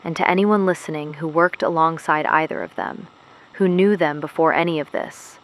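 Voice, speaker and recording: A woman playing the principled host of a clandestine resistance radio show, speaking in a grave, measured, deliberate way. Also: low voice, low-quality recording. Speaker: host of an underground resistance broadcast. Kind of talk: scripted audio drama monologue.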